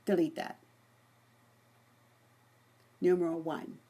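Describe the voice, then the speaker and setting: A woman presents a software demonstration in an informal way. Her voice sits a little low and has a distinctly husky, unpolished grain. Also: flat delivery, takes it slowly. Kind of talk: dictating voice commands to software.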